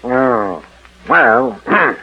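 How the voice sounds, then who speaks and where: An actor playing a mild, obliging police official in a 1940s radio drama, polite and hesitant in manner. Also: male voice, fairly high pitch, huge pitch swings, very slow, creaky, breathy edge, exaggerated voice. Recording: tinny, narrow 1940s radio recording.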